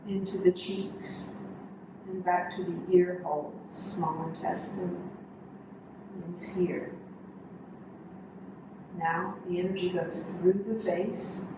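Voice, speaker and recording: Slow-paced woman guiding meditation in echoey room. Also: lowish voice. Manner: calm, instructional, short measured phrases with pauses. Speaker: yoga instructor. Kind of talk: guided body scan meditation. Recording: echoey room, distant mic, noisy background.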